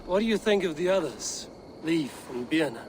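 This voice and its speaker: An actress playing a steady, direct young Norse warrior woman in a video game cutscene. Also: sits low, moderate pace, slight background noise. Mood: steady, probing.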